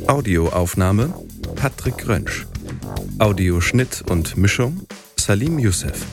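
Lowish-voiced male announcer over guitar music. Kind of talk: scripted credits narration.

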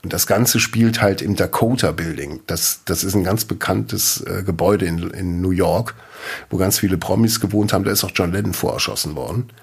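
A man in his forties co-hosting a casual podcast chat, telling stories in a lively way and laughing often. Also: deep voice, brisk, gravelly, husky. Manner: laughs a lot, lively storytelling. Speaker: podcast co-host.

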